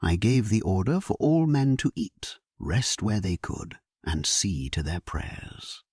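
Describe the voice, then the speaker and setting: A man narrating a first-person historical sleep story in a solemn, measured, hushed, breathy voice, as a grave and resolute medieval king. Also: lowish voice, sing-song, dry recording, clean studio sound.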